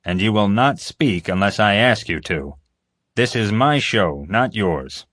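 A man's voice: on the low side, expressive, a little slow, a bit bright, no room sound, clean studio sound. A man voicing a stern, grumbling security chief in an audiobook, curt, formal and commanding. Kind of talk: audiobook character voice.

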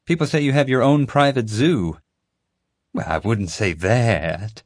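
A man's voice: medium pitch, no room sound, clean studio sound, pitched above the performer's own voice. A man putting on an elderly honeyed Southern lady, Flora. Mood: gracious. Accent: Southern US drawl. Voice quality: slow honeyed drawl.

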